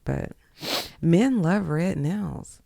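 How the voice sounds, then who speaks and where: A woman's voice: lowish voice, animated, drawn-out words, vocal fry, dark tone, no room sound, studio quality. A woman chatting casually as a podcast guest, blunt and teasing. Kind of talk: casual chat.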